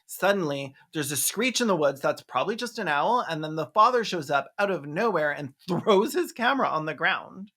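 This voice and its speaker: A video essayist giving a sarcastic, comedic movie recap, with snarky deadpan asides and mock-dramatic exclamations. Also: mid-range voice, normal speed, hardly any pauses. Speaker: video essayist, film reviewer.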